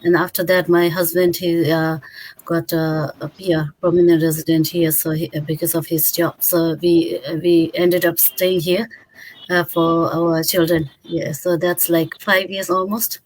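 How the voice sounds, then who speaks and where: A woman's voice: on the low side, little pitch movement, unhurried, a little mellow, rough recording. A woman in her thirties from Bhutan, a guest on an online interview, answering chattily and correcting herself often. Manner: chatty, self-correcting, restarts.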